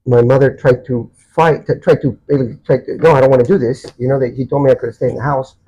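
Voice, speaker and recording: A man in his sixties from Chile, a Spanish speaker, telling personal stories haltingly as he searches for words. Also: mid-range voice, fairly even intonation, warm tone, slight background noise, poor audio. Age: 60s. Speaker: podcast guest. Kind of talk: personal storytelling in a casual interview.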